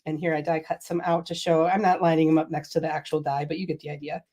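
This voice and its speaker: A woman's voice: low voice, level tone, fast, darkish tone. A middle-aged woman hosting a casual craft product livestream, chatty and laughing often, a little sniffly from a cold. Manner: chatty, laughs often, reads viewer comments. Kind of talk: casual product demo chat.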